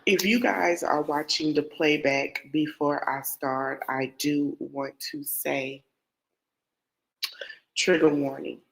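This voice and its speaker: A woman's voice: low-pitched, fairly even intonation, takes it slowly, creaky, poor audio. A woman hosting a casual true-crime podcast, nasal and congested from a cold. She rambles, repeats herself and talks straight to her viewers. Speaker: podcast host.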